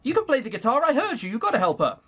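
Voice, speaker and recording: A man voicing a fierce, indignant young woman rock bassist in a visual novel, in a raised, high-pitched voice. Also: huge pitch swings, very fast, fairly bright tone, breathy edge, heavily acted. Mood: defiant.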